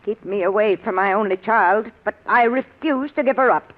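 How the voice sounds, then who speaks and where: A woman's voice: medium pitch, moderate pace, close to an everyday voice. An actress plays an older mother-in-law, imperious and self-righteous, who distrusts everyone around her child. The performance is old-time radio drama, on a dull, dated 1940s recording. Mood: suspicious, stubborn. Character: domineering, suspicious older mother-in-law, possessive of her daughter.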